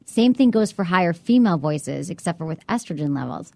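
A woman co-hosting a casual podcast, chatty and giggly, her voice slightly husky from a cigarette. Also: fairly low pitch, expressive, brisk, warm tone, no room sound. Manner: chatty, giggly, trails off mid-sentence. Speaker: podcast co-host. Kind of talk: casual chat.

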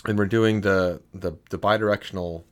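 A man with a very deep, low voice chats on a home podcast. He talks in a halting way, restarting his sentences often. He sits close to a desk microphone that has a pop filter. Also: steady tone. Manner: halting, with many restarts and fillers. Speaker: podcast co-host. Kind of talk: casual chat. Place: home room, sitting opposite his partner. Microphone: USB desk mic with pop filter.

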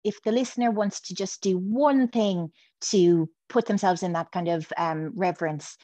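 A woman's voice: medium pitch, moderate pace, dark tone, no echo. A woman speaking as a guest, talking through myth in a hesitant way with many fillers and restarts. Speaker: guest, priestess. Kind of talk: casual podcast chat.

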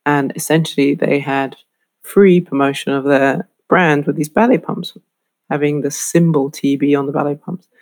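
A middle-aged woman from the UK, a lecturer giving a clear, explanatory lesson on branding. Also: low-pitched, darkish tone. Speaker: fashion marketing lecturer. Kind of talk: instructional talk to camera.

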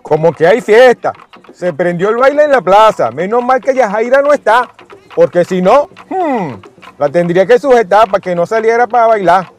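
An actor playing a bossy, jealous, folksy Venezuelan bar owner in a radio soap, talking in a blustery, colloquial way. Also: male voice, high voice, expressive, normal speed. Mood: grumbling, folksy. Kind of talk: radionovela acting.

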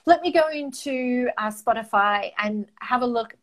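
A woman in her forties with an Australian accent talks in a fairly high voice, loud, fiery and passionate. Greek was her first language, and she comes across as animated and expressive. She fills her pauses with frequent "um"s as she chats as an interview guest. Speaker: guest, campaign marketer. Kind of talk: casual interview chat.

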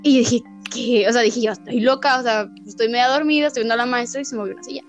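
A woman's voice: a little high, steady tone, quick pace, soft background music. A young woman talking casually as a podcast guest, chatty and often laughing. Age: young adult. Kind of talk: casual chat.

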